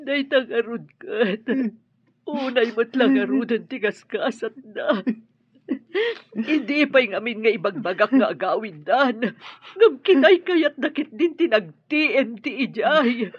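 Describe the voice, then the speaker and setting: An actress playing an elderly grandmother grieving, speaking slowly and tearfully in a radio drama. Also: fairly high pitch, lively intonation, husky, no echo. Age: older. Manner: slow, tearful. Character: elderly grandmother grieving her son abroad. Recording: dry, dull radio drama audio.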